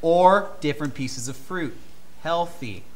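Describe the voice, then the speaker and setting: A young American man hosting a comedy podcast, bantering in a blunt, deadpan, teasing way. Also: on the high side, unhurried. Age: young adult. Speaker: podcast host. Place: indoor room with arcade cabinets.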